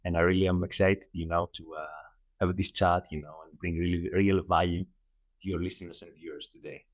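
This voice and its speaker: A middle-aged man from Greece with a Greek accent, a coach answering interview questions earnestly, often repeating himself and pausing on fillers. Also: low-pitched, fairly even intonation, no room sound. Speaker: guest, holistic coach.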